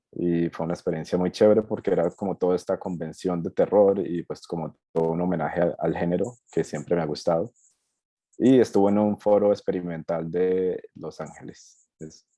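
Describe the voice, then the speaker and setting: Really deep mellow guy from colombia, dry sound, talking about his short. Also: no room sound. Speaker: student film director. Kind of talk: panel answer on a video call.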